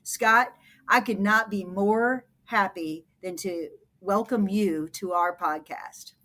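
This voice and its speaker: A woman hosting a podcast, giving a guest introduction in a brisk, formal delivery. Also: medium pitch, pushed and bright. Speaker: podcast host.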